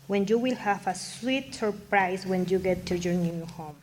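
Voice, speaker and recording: A woman's voice: medium pitch, a little slow, darkish tone, lightly acted. A kindly immigrant mother acted by a woman in a live radio play. She has a Mexican accent and drops Spanish into her broken English. Light noise sits behind the voice. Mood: warm, motherly. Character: warm Mexican immigrant mother newly adopting two children. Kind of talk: radio drama acting. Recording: live stage performance, audible background noise.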